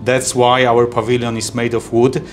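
Polish-accented man, halting answers. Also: mid-range voice, flat delivery, slight background noise. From Poland. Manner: halting, many fillers and restarts. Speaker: trade agency executive. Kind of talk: interview answers. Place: office, indoors.

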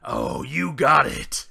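A man voicing a hulking, grudge-holding male warrior in a gravelly, husky, roaring voice during fan gameplay voice acting. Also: fairly high pitch, pushed and bright, exaggerated voice. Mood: friendly.

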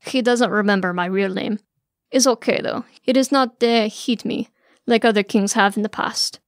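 A woman narrator acts out an old Russian maidservant in a storybook tale. She is motherly and weary, speaking plain broken English with a thick accent. The sound is dry and close, with a creaky edge. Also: mid-range voice, moderate pace, no echo, natural voice. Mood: gentle, concerned.

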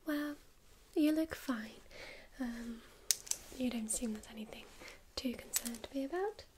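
A woman whispering softly in a gentle, reassuring ASMR medical roleplay, calmly talking a listener through a check-up step by step. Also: on the high side, a little slow, background noise. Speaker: ASMR creator playing a first-aid medic.

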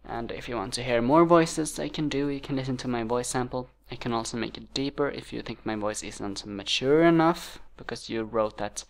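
An eighteen-year-old man recording a casual voice audition, reading lines twice in a flat, fairly unemotional way. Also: medium pitch, normal speed, gravelly, hardly any pauses. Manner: reads each line twice, flat and unemotional. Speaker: voice actor auditioning.

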